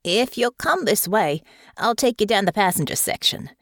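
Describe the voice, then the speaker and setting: A woman voicing a humble young male airship crewman with a soft, homey rural drawl and folksy grammar. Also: mid-range voice, lively intonation, normal speed, no echo, clean studio sound, pitched above the performer's own voice. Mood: friendly. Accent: rural country dialect. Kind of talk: audiobook character voice.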